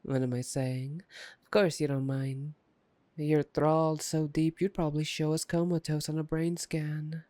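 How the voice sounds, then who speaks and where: A woman's voice: low voice, level tone, a little slow, warm tone, no echo. A woman playing a taunting, playful, predatory vampire in an ASMR roleplay, with a teasing, mocking drawl. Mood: teasing, gloating. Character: taunting, playful, predatory vampire gloating over a captive hunter. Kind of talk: ASMR roleplay audio drama.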